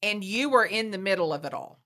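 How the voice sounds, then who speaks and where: A woman's voice: medium pitch, lively intonation, brisk, sharp tone. A woman hosting an interview, asking questions and encouraging her guest. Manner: encouraging, brief affirmations. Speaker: host.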